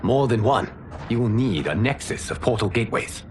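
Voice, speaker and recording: A man's voice: mid-range voice, expressive, moderate pace, faint music behind, close to an everyday voice. A man playing a sober, commanding leader and former god in a video game, authoritative and sceptical. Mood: sober, doubtful.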